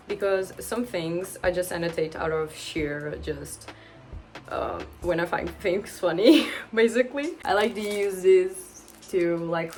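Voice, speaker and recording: A woman in her twenties, a native Portuguese speaker, chatting casually to camera at home, a little awkward and rambling, often laughing. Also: lowish voice. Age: 20s. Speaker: book vlogger. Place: home with pets around.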